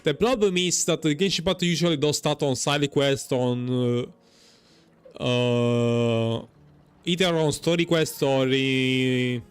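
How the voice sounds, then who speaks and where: A man's voice: mid-range voice, fairly even intonation, clear, resonant tone. Guy streaming a game, casual rambling commentary, stumbles over words, light music in back. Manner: halting, repeats words while searching for phrasing. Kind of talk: casual live gameplay commentary. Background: faint game music.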